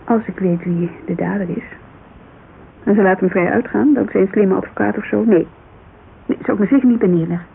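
A woman's voice: medium pitch, brisk, natural voice. Actress as a blunt, headstrong woman, crackly narrow-band radio. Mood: determined. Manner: blunt, insistent, exasperated. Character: headstrong, blunt businesswoman client pressing a detective. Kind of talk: Dutch radio drama acting, conversational. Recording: narrow, noisy, creaky-sounding old radio broadcast.

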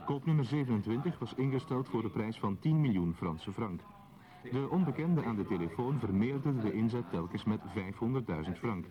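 A man's voice: lowish voice, expressive, moderate pace, natural voice. A man narrating a radio drama in a formal, scripted documentary style. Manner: formal, reporting. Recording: crowd murmur behind voice.

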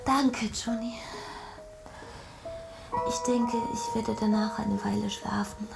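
Weak devoted wife, slow creaky whisper, music playing, radio drama. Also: female voice, mid-range voice, steady tone. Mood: weak, tender. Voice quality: breathy, whispering. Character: frail, trusting young wife, fading and devoted. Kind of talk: German radio drama acting.

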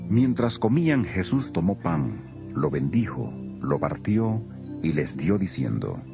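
A man's voice: sits low, very animated, unhurried, vocal fry, music in the background. A man narrating a dramatized scripture recording as a solemn storyteller.

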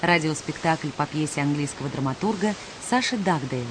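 A woman's voice: low voice, lively intonation, a little fast, background noise. A woman reading scripted narration to introduce a radio play. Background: music.

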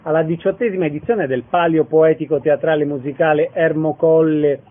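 A man's voice: fairly high pitch, fairly even intonation, brisk, narrow, tinny audio. A man presenting and asking questions as the host of a radio interview.

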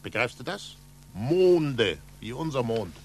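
An actor playing a fervent, stubborn scientist and mentor in a 1940s German radio drama, with theatrical, emphatic delivery. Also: male voice, mid-range voice, sing-song, slow pace, a little hiss or hum. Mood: patient, intent. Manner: theatrical, emphatic, swinging from hushed to exultant.

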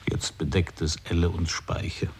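A man's voice: low-pitched, monotone, measured pace, a little hiss or hum. An actor in a radio play, playing a skeptical man who questions his companion in a dry, probing way. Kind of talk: 1980s radio play acting.

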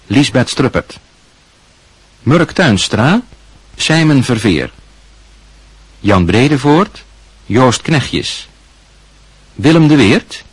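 Slow, husky male radio announcer. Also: mid-range voice, dry recording, rough recording. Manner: formal, clearly enunciated. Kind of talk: reading closing credits. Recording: dry close studio sound.

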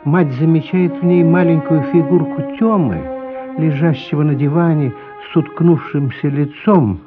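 A man narrating a literary radio play in a measured storytelling manner. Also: on the high side, close to an everyday voice. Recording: muffled, phone-like sound with music. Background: music.

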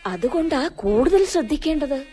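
A woman's voice: high-pitched, very animated, moderate pace, warm tone. A woman with a Malayali accent acting a fretful, loving middle-aged mother who admonishes her daughter in a radio drama. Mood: anxious advice. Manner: admonishing, anxious.

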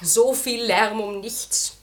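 A woman's voice: on the high side, takes it slowly. An actress playing a spirited, coaxing young lady of good family in a German radio comedy from 1960.

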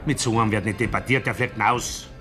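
A man's voice: fairly low pitch, fairly even intonation, brisk, music in the background, natural voice. A man playing a dismissive, unforgiving small-town man in a radio play. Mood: callous. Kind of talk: 1970s German radio play acting.

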